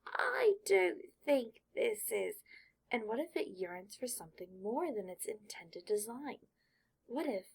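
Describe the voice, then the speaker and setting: A woman narrating a fan fiction audiobook in an expressive storytelling manner. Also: on the high side, breathy edge, rough recording.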